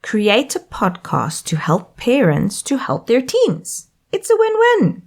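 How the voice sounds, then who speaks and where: South African woman, 30s, podcast host reading a script; animated, upbeat delivery. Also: on the high side, takes it slowly. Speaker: podcast host and life coach. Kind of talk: scripted solo podcast monologue.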